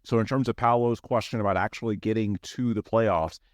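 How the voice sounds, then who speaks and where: A man hosting a sports podcast who explains the playoff format in a measured way. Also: on the low side, steady tone, dry recording, studio quality. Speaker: podcast host. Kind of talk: podcast interview, sports chat.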